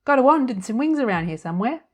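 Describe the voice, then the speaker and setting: A woman's voice: a little high, very animated, fast, pitched above the performer's own voice. A woman voicing a tiny, cheerful, scatterbrained older fairy godmother in a storybook reading, breezy and chatty.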